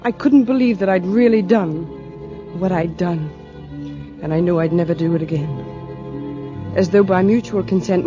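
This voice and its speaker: An actress playing an anxious, guilt-ridden wife in a 1940s radio drama, both narrating and speaking in character. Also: female voice, on the low side, natural voice. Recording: dull vintage radio recording, music behind.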